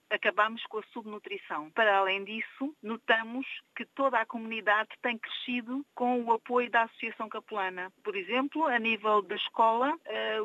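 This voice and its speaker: Woman, European Portuguese accent, bright and fairly high voice, earnest, dry lo-fi sound. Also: no echo. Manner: earnest, frequent tag questions. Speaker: charity association representative. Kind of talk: interview answers.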